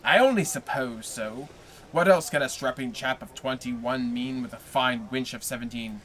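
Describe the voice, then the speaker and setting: A man reading aloud plays a blustering, envious neighbor from a storybook, all gossip and grudges. His voice comes out bright and edgy as he complains. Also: mid-range voice, normal speed, lightly acted.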